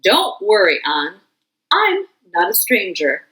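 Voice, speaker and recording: A woman voicing a hairy red creature that embodies anger, speaking gently and reassuringly. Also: mid-range voice, huge pitch swings, slow pace, heavily acted.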